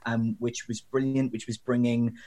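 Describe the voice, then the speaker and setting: Young guy w a faint northern irish accent, interview guest, rambly and reflective, mid-pitched voice. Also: flat delivery, moderate pace, warm tone. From Northern Ireland. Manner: reflective, rambling with fillers and restarts. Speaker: guest, theatre director. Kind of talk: conversational interview.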